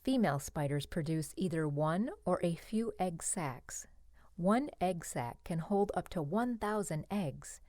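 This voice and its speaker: A woman reading a scripted educational book aloud for children in simple, clearly phrased sentences. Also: lowish voice, lively intonation, a little slow, a little mellow, no room sound, studio quality. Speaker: children's audiobook narrator.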